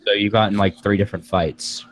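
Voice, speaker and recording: A man's voice: on the low side, fairly even intonation, a little fast, slight background noise. A man speaking as a host in a casual group discussion, giving his commentary.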